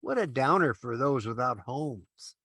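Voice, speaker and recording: An eager, innocent junior angel from a radio play , voiced by a man. His pitch swings widely as he exclaims in kindly earnest. The sound is dry, with no room echo. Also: lively intonation, a little slow, fairly bright tone. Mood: disappointed. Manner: earnest, exclaiming, swooping intonation. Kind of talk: old-time radio play parody.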